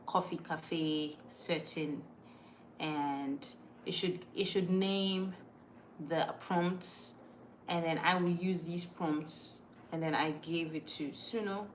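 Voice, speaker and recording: Woman, mixed Ghanaian accent, fairly low voice, casual livestream chat, emphatic, repeats herself, faint background noise. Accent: Ghanaian, mixed from moving around. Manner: chatty and emphatic, repeats words, thinks aloud. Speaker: livestream host. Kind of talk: casual live chat, reading viewer comments.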